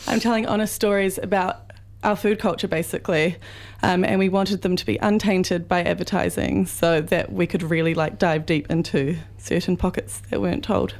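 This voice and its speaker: A woman with a croaky voice, a guest on a radio show, speaking in a friendly, slightly hesitant way. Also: on the low side, steady tone, moderate pace, darkish tone. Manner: friendly, a little hesitant, laughs easily. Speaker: guest, graphic designer and publisher. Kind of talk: radio studio interview.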